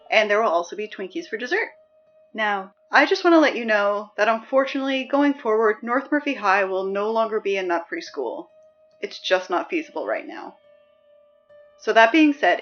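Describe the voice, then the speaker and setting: A woman playing a weary, sardonic high school morning announcer in a scripted audio drama. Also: highs cut off, natural voice. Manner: sardonic, deadpan, exasperated asides.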